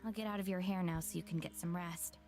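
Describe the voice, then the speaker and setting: Actress doing a reserved but determined young woman from a visual novel, lowish voice, game music behind her. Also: fairly even intonation, quick pace, lightly acted. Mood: earnest, determined. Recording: quiet game audio under music.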